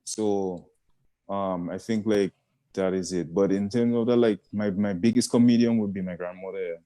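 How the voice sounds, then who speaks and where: Laid-back low-voiced caribbean guy. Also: steady tone, normal speed, warm tone. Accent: Caribbean English. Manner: rambling, laid-back, searches for words. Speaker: guest comedian. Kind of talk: casual chat.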